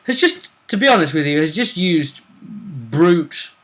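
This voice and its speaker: A man's voice: a little high, sing-song, a little slow, fairly bright tone. A man hosting a casual role-play tournament chat, building up the fighters with teasing drama. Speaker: host of a tabletop role-play vidcast.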